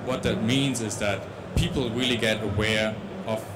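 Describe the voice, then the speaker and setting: A man's voice: fairly low pitch, steady tone, normal speed, heavy background noise. A young man with a Dutch accent giving a tech conference talk on a large stage into a handheld mic, with many restarts and fillers. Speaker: conference speaker.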